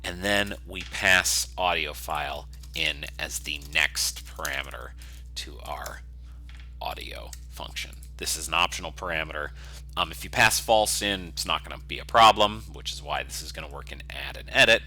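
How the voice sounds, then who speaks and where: A man giving an informal, step-by-step software screencast tutorial, explaining conversationally as he works. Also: very deep voice, normal speed, a little hiss or hum.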